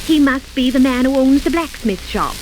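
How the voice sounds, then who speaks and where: An actress playing a cheerful, polite young wife sightseeing in an old-time radio drama. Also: female voice, high voice, animated, moderate pace, darkish tone, a little hiss or hum, low-quality recording. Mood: delighted.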